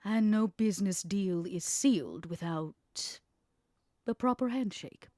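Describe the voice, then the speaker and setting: A woman playing a shrewd female vampire smuggler in a tabletop game, bargaining in a deliberate, persuasive way. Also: mid-range voice, expressive, mellow, no room sound, clean studio sound, close to an everyday voice. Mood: cool resolve. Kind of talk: in-character tabletop roleplay.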